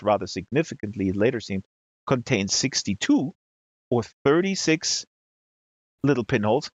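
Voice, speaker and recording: A man with a fairly high voice reads satirical fiction aloud. His intonation swings widely, and he places his emphasis deliberately. The recording is dry and slightly dull. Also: no echo. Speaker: reader of literary excerpts. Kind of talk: reading a satirical novel aloud.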